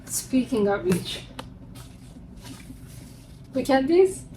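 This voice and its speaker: High-voiced woman, English not her first language. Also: sing-song, drawn-out words. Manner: chatty and upbeat, presenting books one by one. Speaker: book vlogger. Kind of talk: casual vlog showing her unread books. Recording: distant mic with construction noise. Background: construction noise from a neighbor.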